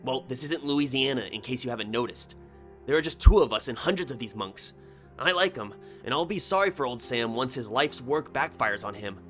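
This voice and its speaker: A man voicing an anxious, excitable male engineer in a story, speaking in an agitated, emphatic way. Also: mid-range voice, a little fast, soft background music, natural voice. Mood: worried. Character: anxious, excitable younger male engineer breaking urgent news. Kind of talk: short story character dialogue.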